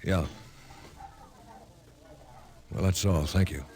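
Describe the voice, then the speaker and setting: An actor playing an earnest newspaper reporter, hesitant and questioning, in old radio drama. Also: male voice, sits low, drawn-out words, darkish tone, heavily acted. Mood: inquisitive.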